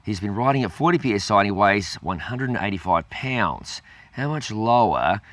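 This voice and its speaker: An Australian man hosting a question-and-answer show, his voice a little raspy from a head cold, talking in a relaxed way with many ums and uhs. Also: on the low side, moderate pace, no echo. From Adelaide, South Australia. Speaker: host. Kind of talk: casual Q&A video.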